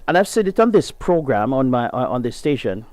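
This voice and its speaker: A man's voice: sing-song, a little fast, darkish tone, dry recording. A middle-aged Jamaican man hosting a gospel radio show, reading an article aloud and then speaking earnestly and emphatically. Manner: earnest, emphatic, rises in pitch to stress points. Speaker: radio host.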